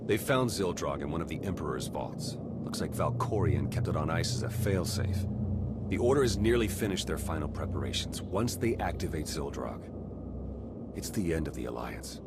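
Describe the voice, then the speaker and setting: An actor playing an earnest, roguish undercover spy in a video game, speaking in a low-key but urgent way. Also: male voice, expressive, normal speed, over loud music. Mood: grave briefing.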